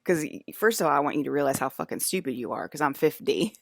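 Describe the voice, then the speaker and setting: A woman's voice: low voice, sing-song, fast, gravelly, no echo, studio quality. A woman in her fifties talking straight to her viewers in a rambling, confrontational monologue, full of fillers and swearing. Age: 50s. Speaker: video blogger.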